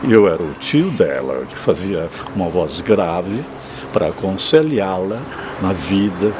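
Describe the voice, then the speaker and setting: A man's voice: low-pitched, big pitch swings, unhurried, background noise. An older man, a former radio drama actor, reminiscing in an interview. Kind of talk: nostalgic interview recollection.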